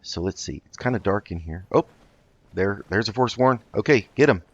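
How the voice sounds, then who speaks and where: Low-voiced man, slightly muffled gameplay commentary. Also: animated, moderate pace. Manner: hesitant, thinking aloud. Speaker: host of a video game roleplay let's play.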